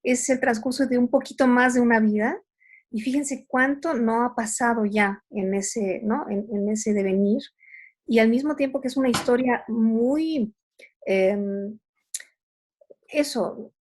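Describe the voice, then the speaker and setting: Slow-speaking husky woman moderator. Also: fairly high pitch, fairly even intonation, darkish tone. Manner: halting, thoughtful. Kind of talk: reflective spoken commentary.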